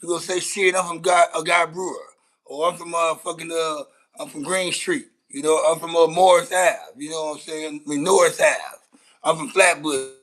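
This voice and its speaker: An American man with a slightly high voice talks in a casual livestream style. He is blunt and slangy, circling back over his own phrases as he makes his point. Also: steady tone, moderate pace, fairly bright tone, low-quality recording. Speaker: content creator reacting to news clips. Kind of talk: casual livestream commentary on street news.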